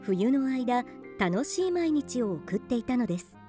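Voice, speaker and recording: A woman's voice: fairly high pitch, very animated, measured pace, music in the background. A woman narrating a fairytale radio drama in scripted storybook style.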